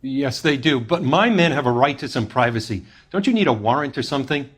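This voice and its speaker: Wary foreman, mid-pitched voice. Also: animated, fairly quick, breathy edge. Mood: protective. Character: male construction foreman, wary, protective, reluctant. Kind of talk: crime drama video game dialogue.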